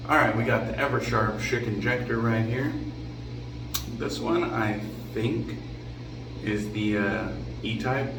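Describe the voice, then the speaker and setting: A man hosting a shaving vlog while on vacation, his voice raspy and hoarse from drinking and talking over music, rambling casually and clearing his throat often. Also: mid-range voice, a little slow. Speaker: shaving channel host. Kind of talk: casual shave vlog talk. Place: vacation bathroom with air conditioning. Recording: echoey room, distant mic, noticeable background hum.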